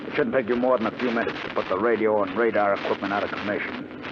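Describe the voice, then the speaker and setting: An actor as a controlled gang boss, noisy old radio. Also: male voice, fairly low pitch, steady tone, natural voice. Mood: brisk, commanding. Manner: controlled, deliberate, commanding. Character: calm, menacing male gang leader plotting a ship hijacking. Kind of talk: 1950s radio drama acting and narration. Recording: noisy, muffled 1950 radio recording.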